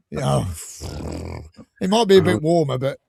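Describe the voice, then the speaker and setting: A man hosting a live fan podcast, enthusiastic and rambling, a little croaky from a cold. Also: on the high side, unhurried, warm tone, no echo, studio quality. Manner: enthusiastic, rambling, laughs often. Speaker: podcast host.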